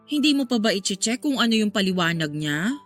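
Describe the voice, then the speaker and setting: A woman's voice: medium pitch, big pitch swings, fairly quick, fairly bright tone, soft background music. A woman acting a concerned, level-headed friend who gives advice in a Tagalog radio drama. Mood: frank, concerned.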